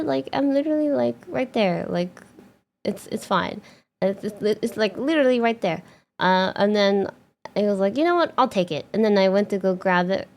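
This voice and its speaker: A young woman streamer chatting casually to her viewers, rambling with fillers and restarts and bursts of bubbly excitement. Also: medium pitch.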